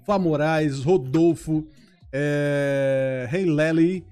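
A man's voice: on the high side, very slow, noisy background. A man hosting a casual podcast, upbeat and talking directly to the chat audience. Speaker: podcast host.

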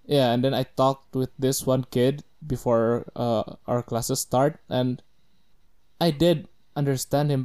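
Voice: mid-range voice, warm tone, clear, resonant tone. A young speaker from Indonesia recalling school days in a casual group chat, rambling.

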